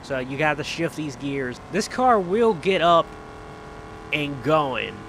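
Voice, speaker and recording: A man's voice: a little high, very animated, slow, a bit bright, a little hiss or hum, poor audio. A man hosting a gaming channel with casual, enthusiastic commentary on cars, often repeating phrases for emphasis. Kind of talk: casual video game roleplay commentary on cars.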